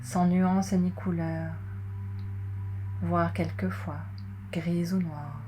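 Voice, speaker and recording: A woman guiding a relaxation session in a soothing, measured way, pausing between short phrases as she narrates. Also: on the low side, fairly even intonation. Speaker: yoga nidra guide. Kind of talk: guided relaxation, scripted narration. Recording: clearly noisy, ambient tones behind. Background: meditation music with singing bowl tones.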